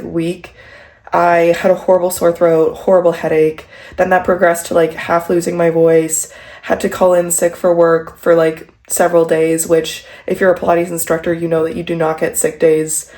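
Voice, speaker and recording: A young woman recording a casual vlog at home, her voice stuffy and congested from a cold. She speaks low for a woman, with a gravelly fry running through her chatty, filler-heavy talk. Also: a little mellow. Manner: chatty, rambling, frequent "like" and "um". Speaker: vlogger and pilates instructor.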